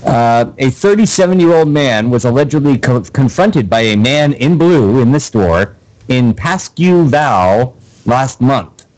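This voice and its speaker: A male co-host of a casual radio show who talks in a dry, halting way. He stumbles through news stories with plenty of ums and uhs, at an average pitch. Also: lively intonation, a little mellow, low-quality recording. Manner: dry, hesitant, many fillers while reading. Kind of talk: casual banter and reading news items.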